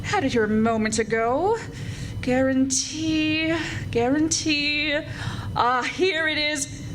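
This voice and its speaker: A woman's voice: sits high, slow pace, a bit bright. A woman acting a haughty, flustered society matron in an old-time radio comedy, with affected, grandly indignant speech. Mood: distressed, indignant. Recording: live stage recording, faint background noise.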